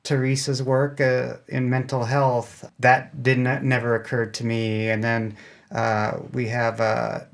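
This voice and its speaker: A man's voice: medium pitch, level tone, slow pace, creaky. A man speaking as an interview guest, explaining casually in a loose, rambling way that often doubles back mid-sentence. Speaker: podcast guest, amateur radio club spokesman.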